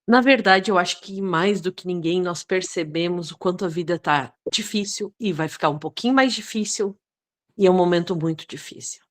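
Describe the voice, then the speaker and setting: A woman's voice: fairly low pitch, moderate pace. A Brazilian woman giving a reflective spoken contribution in a spiritual group discussion, often adding tag questions. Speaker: study group participant.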